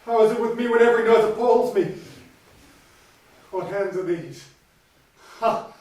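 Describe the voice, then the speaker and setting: An actor playing a guilt-ridden Scottish lord, declaiming Shakespearean verse on stage, recorded from far off in an echoey hall. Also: male voice, high-pitched, moderate pace, darkish tone, lightly acted. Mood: horrified. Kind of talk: stage play performance. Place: theatre stage in a large hall. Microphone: distant room mic.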